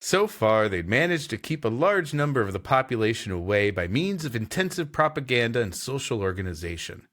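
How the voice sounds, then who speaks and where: A man's voice: medium pitch, big pitch swings, a little fast, hardly any pauses, a bit bright. A man co-hosting a comedy podcast, reading an old story aloud and bantering, laughing often. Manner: reads narration then jokes, laughs often. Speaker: co-host and story reader.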